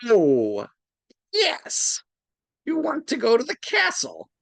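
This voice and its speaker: A drowsy old sage speaks in slow, gravelly riddles , as a woman plays him, his pitch leaping high and low. The sound is dry and close, from a home mic on a video call. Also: wildly swooping pitch, dry recording, full character voice. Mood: pompous. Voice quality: creaky, drawn-out delivery. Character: drowsy old male sage, pompous and cryptic. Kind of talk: live dramatic reading over video call.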